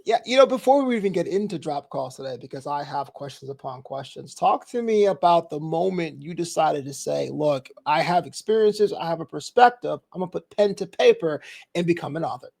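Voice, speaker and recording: A man's voice: a little high, lively intonation, brisk, gravelly, darkish tone. A man from New York hosting a book interview, upbeat and full of praise for his guest. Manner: upbeat, effusive praise, polished presenter delivery. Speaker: interview host.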